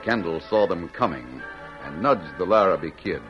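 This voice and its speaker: A man's voice: low-pitched, animated, normal speed. Male narrator, old radio western, formal dramatic delivery, dull recording, music behind. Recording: muffled vintage radio, music underneath.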